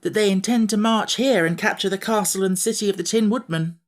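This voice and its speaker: A man's voice: sits high, a little fast, voice pushed up, close to an everyday voice. A man reading an audiobook, voicing an earnest young boy.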